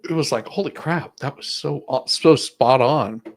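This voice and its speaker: A man's voice: medium pitch, moderate pace. A man hosting a stream in casual, jovial, chatty talk. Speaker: stream host. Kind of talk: casual chat.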